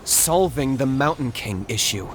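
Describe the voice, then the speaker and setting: An actor playing a cool, resolute young warrior in a video game, clipped and matter-of-fact. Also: male voice, mid-range voice, expressive, unhurried, background noise, natural voice. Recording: loud music under the voice.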